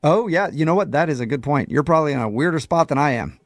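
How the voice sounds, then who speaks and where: A man's voice: on the high side, animated, fast, dry recording, clean studio sound. A man playing a chatty county court clerk on a prank phone call, casual, evasive and amused as he breaks awkward news. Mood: sheepish, flippant.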